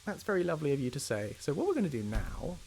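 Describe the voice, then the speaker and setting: A man's voice: a little high, big pitch swings, fast, gravelly, a little hiss or hum. A man chatting casually as he commentates on gameplay for a livestream. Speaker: streamer and game mod developer. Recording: loud game music behind voice. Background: game music.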